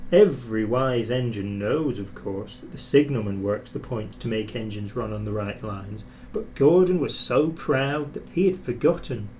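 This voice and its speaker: A man narrating a children's story in an expressive, scripted reading style. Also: medium pitch, moderate pace, few pauses, a little hiss or hum. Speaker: storybook reader.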